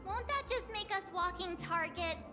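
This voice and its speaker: Very high, cute girl adventurer. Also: moderate pace, over music. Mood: puzzled, worried. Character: cute, bubbly young girl adventurer, naive and curious. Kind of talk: video game voice acting.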